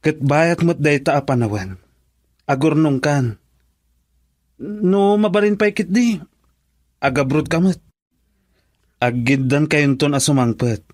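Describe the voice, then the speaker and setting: A man's voice: a little high, takes it slowly, long pauses, darkish tone, no room sound, studio quality. A man playing a male friend who urgently advises his buddy, in Ilocano-accented radio drama dialogue. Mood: urging, advising.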